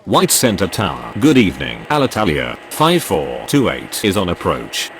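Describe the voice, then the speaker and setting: A man's voice: deep voice, wildly swooping pitch, moderate pace, creaky, fairly bright tone, soft background music, full character voice. A man playing a businesslike air traffic radio operator in a game, giving formal, scripted procedural calls. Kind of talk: clipped, scripted radio phraseology.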